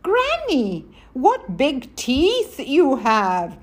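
A woman voicing an innocent little girl from a fairy tale, pitched up. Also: wildly swooping pitch, very slow, exaggerated voice. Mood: wondering.

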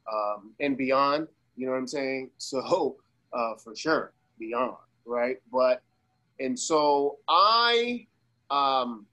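Halting, slangy man from Las Vegas. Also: medium pitch, bright, forceful tone, low-quality recording. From Las Vegas, USA. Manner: loose, halting, emphatic repetition, slangy. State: ill with pneumonia. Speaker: guest, socialist activist. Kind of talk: casual interview talk.

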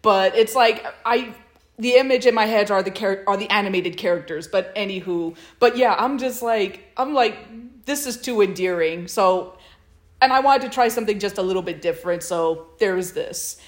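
A woman's voice: mid-range voice, moderate pace. A woman co-hosting a podcast in casual chat, enthusiastic and giggly as she recaps and recommends stories. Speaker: podcast co-host.

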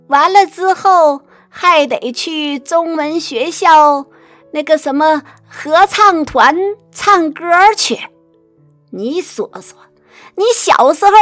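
A woman's voice: high-pitched, fairly bright tone, no echo, full character voice. A woman playing a feisty, teasing old grandmother in a radio drama, blunt and folksy, with a Northeastern Chinese accent. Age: older. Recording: music under the voice.

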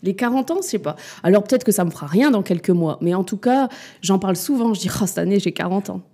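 A woman's voice: medium pitch, expressive, fast, mellow, breathy edge. A woman in her forties, a mother and business owner, answering interview questions candidly, hesitant and reflective, with frequent fillers and restarts. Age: 40s.

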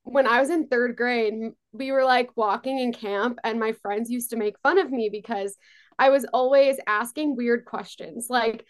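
A woman's voice: on the high side, fairly even intonation, moderate pace. Chatty young woman in her twenties. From born in Israel, raised on Long Island, New York. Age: 20s. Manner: chatty, lots of "like" and "um" fillers. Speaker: guest entrepreneur. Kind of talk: casual interview talk.

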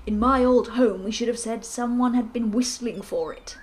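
A bluff old colonel with a booming, emphatic delivery and plenty of hearty assurance, as a woman reads him. He speaks louder and broader than those around him. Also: steady tone, normal speed, close to an everyday voice.

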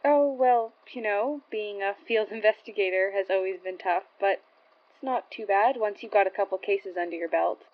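Seasoned paranormal investigator, female actress, friendly and matter-of-fact, natural drama delivery, medium pitch, slightly muffled recording. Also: moderate pace, natural voice. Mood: casual, matter-of-fact. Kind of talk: naturalistic audio drama acting.

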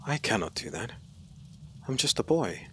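A man's voice: on the low side, sing-song, moderate pace, slight background noise, pitched above the performer's own voice. A male narrator voicing a frightened young boy who pleads in a quavering, raised voice.

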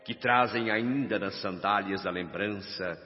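A man's voice: lowish voice, lightly acted. Radio drama role: noble elderly statesman played by a man, oratorical and grave, dull recording with long reverb over music. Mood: earnest, exhorting. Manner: solemn, measured, oratorical. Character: noble, dignified old male spirit governor addressing his workers. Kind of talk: radio drama acting, formal speech.

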